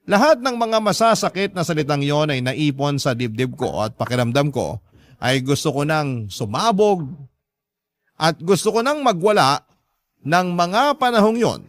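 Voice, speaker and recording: A man's voice: a little high, dry recording. An actor playing a hurt, earnest young Filipino man narrating his life in a radio drama. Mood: aggrieved.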